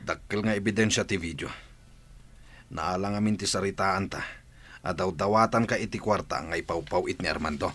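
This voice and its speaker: A man's voice: sits low, moderate pace, fairly bright tone. An actor playing a stern man who confronts a woman about her arrest in an Ilocano radio drama.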